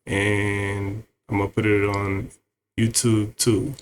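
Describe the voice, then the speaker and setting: A man's voice: fairly low pitch, monotone, slow, slight background noise. A man streaming, talking to viewers in a laid-back, rambling way with many fillers. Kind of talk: casual stream chat.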